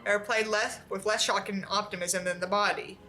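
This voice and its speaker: A woman reading a book aloud in an expressive, exasperated way, with sarcastic asides. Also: medium pitch, a little fast, faint music behind.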